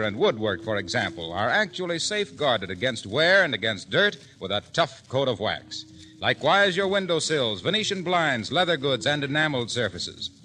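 Male vintage radio pitchman, polished, bright and animated, higher-set voice. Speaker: radio announcer and sponsor pitchman. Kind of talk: 1940s scripted radio advertising narration.

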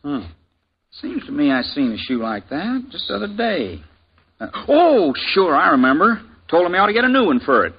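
An actor playing a talkative small-town blacksmith with folksy rural speech in a 1950s radio drama. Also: male voice, fairly high pitch, big pitch swings, normal speed, breathy edge, exaggerated voice. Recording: dull old radio broadcast recording.

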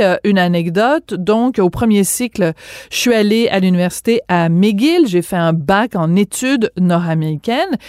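A woman in her fifties from Quebec with a Quebec French accent, telling a story in a lively, chatty, self-mocking way on a radio show. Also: mid-range voice, no echo, clean studio sound. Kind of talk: casual storytelling in radio talk.

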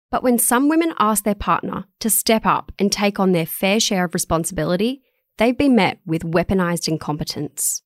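A woman's voice: fairly low pitch, dry recording, studio quality. A woman with an Australian accent, reading her own scripted article aloud as narration.

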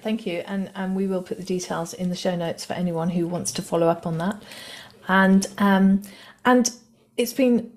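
A woman's voice: mid-range voice, darkish tone. A woman hosting a podcast interview, thanking her guest and praising her answers with enthusiasm. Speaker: podcast host.

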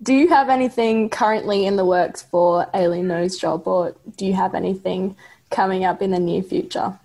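A woman's voice: mid-range voice, steady tone, normal speed, dark tone. A woman hosting a music podcast, asking a guest prepared, enthusiastic questions. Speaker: radio podcast host. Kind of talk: interview questions.

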